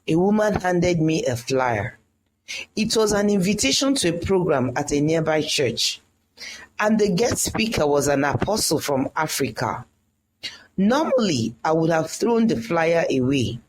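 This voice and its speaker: A storyteller reading a scripted first-person tale in a dramatic, deliberate way. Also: mid-range voice, a little hiss or hum, rough recording.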